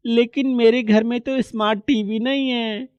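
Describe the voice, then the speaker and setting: A woman's voice: fairly high pitch, fairly even intonation, normal speed, a little mellow, no room sound, dull audio, lightly acted. A woman plays a small schoolboy from a poor family in a moral tale. He is wistful and insistent, pleading plaintively in a close, dry recording. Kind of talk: moral story character acting.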